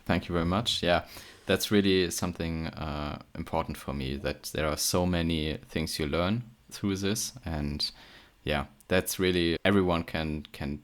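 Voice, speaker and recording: A man's voice: sits low, unhurried, few pauses. A man with a German accent, a chemistry PhD guest, talking casually in an interview with frequent hesitations and restarts. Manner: hesitant, many fillers and restarts.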